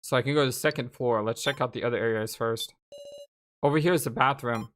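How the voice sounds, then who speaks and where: A woman's voice: a bass voice, quick pace, slight background noise. A woman chatting casually and expressively as she hosts a let's play, reading and commenting on a game. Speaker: let's play host reading visual novel lines aloud.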